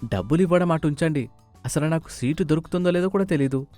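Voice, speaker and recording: A man's voice: on the high side, quick pace, mellow, lightly acted. A man playing a grumbling, questioning grown son in a dramatised moral story, complaining as he talks. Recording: music under the voice.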